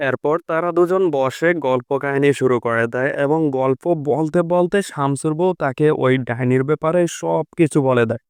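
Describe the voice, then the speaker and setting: A man narrating a cartoon story in a steady storytelling way. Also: medium pitch, moderate pace, few pauses, smooth, no room sound, clean studio sound. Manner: steady storytelling, addresses listeners as friends.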